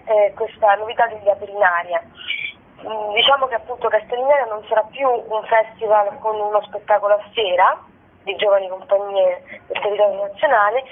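A woman giving a spontaneous, enthusiastic radio interview as a festival co-director, wordy and halting, with repeated words. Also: mid-range voice. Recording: tinny, narrow telephone line on radio.

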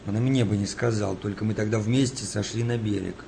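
Tired steady navy man in a radio drama, low n gravelly, looks out for his mates. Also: fairly quick, noisy background. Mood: weary, grim. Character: steady, protective older British wartime sailor, weary and plain-spoken. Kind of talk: Russian radio drama acting. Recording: music behind the voice.

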